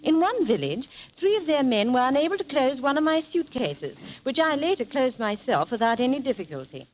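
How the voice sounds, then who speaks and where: A woman's voice: sits high, very animated, a little fast. A woman telling travel tales as a guest in a scripted 1930s radio interview, with a polished, formal delivery. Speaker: guest traveller and film-maker. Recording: faintly noisy 1930s radio broadcast.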